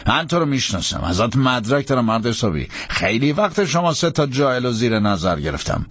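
A man's voice: mid-range voice, big pitch swings, a bit bright, breathy edge, no room sound. A man voicing a bossy, angry lawman who barks threats and orders in an audiobook. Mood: stern, accusing. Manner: barking orders. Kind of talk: dramatised audiobook character.

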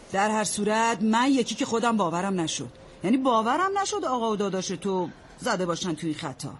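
A woman's voice: lowish voice, natural voice. An actress playing a blunt, motherly older neighbor woman who talks in folksy sayings and bosses the young women around. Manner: folksy, proverb-quoting, bossy. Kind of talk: radio drama acting. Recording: noisy radio-play recording.

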